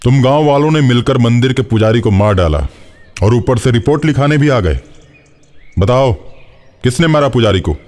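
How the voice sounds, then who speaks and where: A man's voice: mid-range voice, expressive, moderate pace, darkish tone, close to an everyday voice. A man playing an authoritative, menacing, suspicious police inspector in a cartoon horror story. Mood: accusing, threatening. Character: stern, intimidating male village police inspector, threatening and suspicious. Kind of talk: Hindi animated horror story acting.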